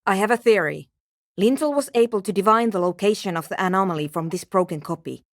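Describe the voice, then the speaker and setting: A woman's voice: mid-range voice, quick pace, no echo, close to an everyday voice. An actress playing a curt, skeptical, world-weary woman programmer in a video game, speaking in a brusque, dry, dismissive way. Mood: terse, absorbed. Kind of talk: video game character dialogue.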